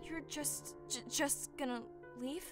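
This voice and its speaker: A woman's voice: high voice, fairly even intonation, takes it slowly, big-room sound, faint music behind. A woman playing an anxious, hurt young goddess with a hesitant, vulnerable delivery in a comic dub.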